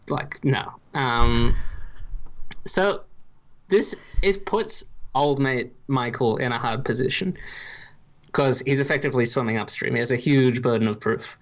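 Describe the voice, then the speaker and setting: A man from Ireland with no marked accent, co-hosting a podcast and calmly laying out his reasoning in a dry, deliberate way. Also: mid-range voice, rough recording. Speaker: podcast co-host. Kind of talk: casual podcast chat.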